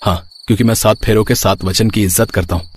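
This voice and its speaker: A man playing an earnest, dutiful young husband who speaks firmly in an animated story. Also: on the low side, fast talker, slightly rough. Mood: resolute.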